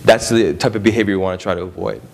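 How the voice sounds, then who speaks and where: A man's voice: sits low, fairly even intonation, very fast, vocal fry. A young man presenting a trading seminar to a live audience, conversational, often checking in with the room. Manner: conversational, frequent "right?" and "okay?" check-ins with the audience. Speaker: trading strategist presenting at an expo.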